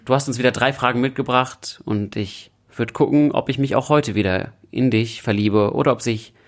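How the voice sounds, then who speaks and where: A man's voice: mid-range voice, moderate pace. A young man chats casually as a podcast co-host, with a playful, self-mocking manner. His voice sits in an ordinary middle register for a man. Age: young adult. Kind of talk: casual chat.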